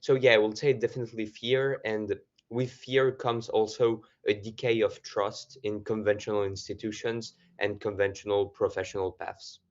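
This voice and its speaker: A young man from France with a French accent, giving measured answers as a student guest in an interview. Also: on the low side, flat delivery. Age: young adult. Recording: slightly muffled audio.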